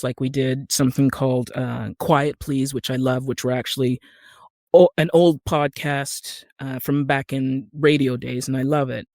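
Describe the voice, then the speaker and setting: A man's voice: medium pitch, darkish tone, no room sound, studio quality. An American man from Utah, a podcast guest who works as an actor and screenwriter, explaining things conversationally. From Utah, USA.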